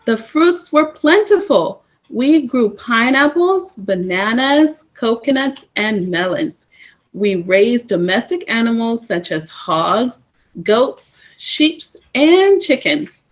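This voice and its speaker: A woman reading a first-person story aloud at story time, in a slow, measured way. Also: fairly high pitch, big pitch swings. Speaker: storytime reader. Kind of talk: reading a picture book aloud.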